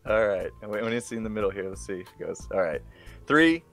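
A man's voice: on the low side, expressive, fast talker, over music. A man hosting a Minecraft session over voice chat, laughing often as he calls the game. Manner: giggly, laughs often while narrating play. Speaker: Minecraft video host. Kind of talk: casual gaming chat over voice call.

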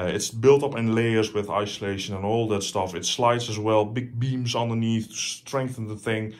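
A man with a Dutch accent and a fairly low voice walks through his living room with a phone camera. He talks eagerly, stumbling through restarts and fillers. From Netherlands. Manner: enthusiastic, with many fillers and restarts. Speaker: hifi enthusiast showing his system. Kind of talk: casual home tour vlog.

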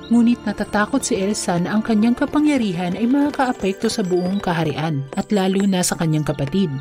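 Mellow woman narrating a fairy tale over music. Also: medium pitch, brisk. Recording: music under the voice. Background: background music.

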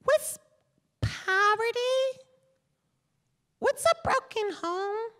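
Spoken word poet doing a small curious child, very high and bright, slow pleading questions. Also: male voice, exaggerated voice. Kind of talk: spoken word poetry performance. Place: stage of a lecture hall with an audience. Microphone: mic on a stand.

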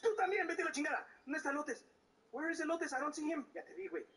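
A man streaming a horror game, excitable and loud, swearing and mixing English with Spanish while losing his voice. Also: very high voice, very fast, far from the mic. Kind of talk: live gaming commentary.

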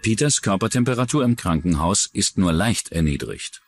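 Low-voiced, animated male documentary narrator. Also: normal speed, faint music behind. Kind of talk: scripted true-crime narration.

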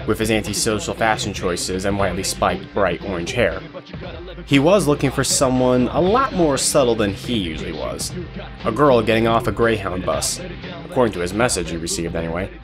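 A man reading scripted narration for an audio drama as the storyteller. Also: fairly low pitch. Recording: music behind the voice.

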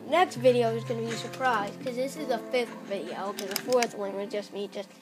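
A woman's voice: a little high, brisk. A young female player rambling through casual Minecraft commentary, squealing at small wins, recorded on a phone aimed at a TV. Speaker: Minecraft player filming her screen. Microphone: phone camera. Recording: phone camera aimed at a TV, music in background.

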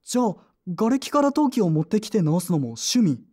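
A woman's voice: medium pitch, big pitch swings, a little fast, warm tone. A woman playing an earnest, polite boy in a Japanese audio drama. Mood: curious, thoughtful.

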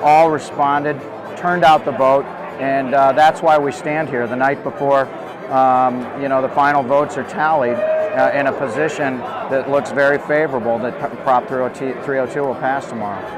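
A man's voice: mid-range voice, steady tone, normal speed, a loud crowd. A man giving an on-the-spot radio interview as a campaign spokesman, into a handheld microphone in a hall. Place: busy indoor hall at an election-night gathering. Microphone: handheld reporter's microphone. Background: loud music.

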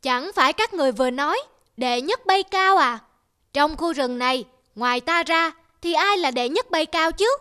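A woman's voice: high-pitched, lively intonation, moderate pace. A woman voicing a boastful, haughty eagle. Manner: haughty, scornful, threatening. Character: arrogant boastful big male eagle. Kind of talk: cartoon voice acting. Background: loud music.

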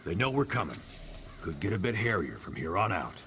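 A gruff, bossy commander in a video game. He gives blunt, sardonic orders in a low voice, with music clearly behind. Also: sing-song, fairly quick, sharp tone, heavily acted. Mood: brisk command.

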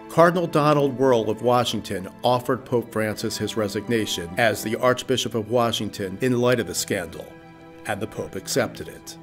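Steady male documentary narrator. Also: medium pitch. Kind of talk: scripted news narration.

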